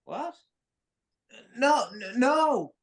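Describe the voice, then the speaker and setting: A woman performs a panicked character trapped in danger in a screenplay drama. The pitch swings widely, yet the pace is slow, broken by stammers. The tone is incredulous and exasperated. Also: animated, full character voice. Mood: frantic, exasperated. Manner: stammering, exasperated, foul-mouthed. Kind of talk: dramatic live screenplay table read.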